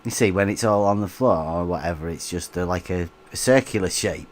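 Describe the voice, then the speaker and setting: A man's voice: low-pitched, fairly quick. A man co-hosting a livestream, chatting casually and chuckling as he reads out viewer comments. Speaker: livestream co-host.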